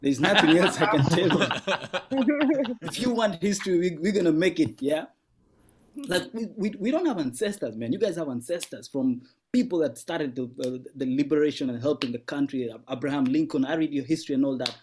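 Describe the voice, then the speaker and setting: A man's voice: on the high side, brisk, noisy background. A man from Sudan, doing a laid-back stand-up set from home over a video call, repeating words and chuckling through his jokes. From South Sudan. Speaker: guest comedian. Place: home at night.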